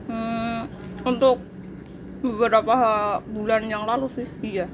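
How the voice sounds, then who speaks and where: A woman tells her story in an easygoing chat, animated and quick to laugh. Her voice sits a little high. Steady background noise runs under her voice. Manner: animated, laughs often while telling. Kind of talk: casual chat. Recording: noisy background.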